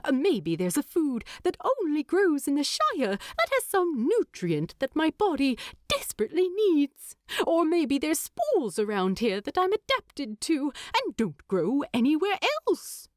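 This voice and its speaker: A woman voicing an excitable male hobbit in a storybook narration, talking eagerly and persuasively with hesitant rambles. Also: sits high, a little slow, no room sound, studio quality, pitched above the performer's own voice. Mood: eager, rambling.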